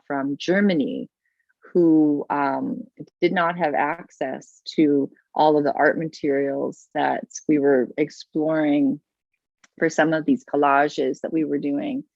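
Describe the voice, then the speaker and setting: A woman's voice: sits low, a little mellow, dry recording, muffled recording. A woman teaching art over a video call, effusive and full of praise, often restarting her sentences. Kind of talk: warm, gushing teaching chat.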